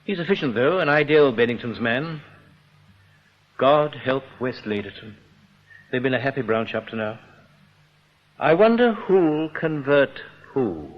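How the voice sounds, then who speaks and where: An actor playing a breezy, authoritative British senior bank boss in a radio drama, persuasive and paternal. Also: male voice, mid-range voice, expressive, a little slow, long pauses, lightly acted. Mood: wry. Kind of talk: broadcast radio drama acting. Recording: dull noisy old radio recording.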